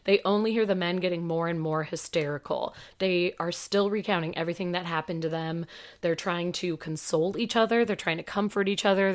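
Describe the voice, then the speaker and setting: A woman in her thirties co-hosting a podcast, telling a story in a casual, animated way. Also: on the low side. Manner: animated, swears, acts out quotes. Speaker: podcast co-host.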